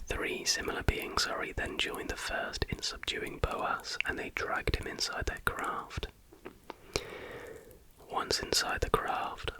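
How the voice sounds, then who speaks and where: Male ASMR host, breathy unvoiced whisper throughout, soft and deliberate storytelling. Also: very high voice, poor audio.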